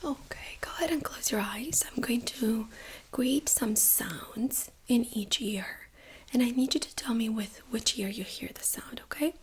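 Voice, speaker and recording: A woman speaking in a breathy whisper, gently and reassuringly, as a doctor in an ASMR medical exam role-play. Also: a little high. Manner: gentle, reassuring, unhurried clinical explaining.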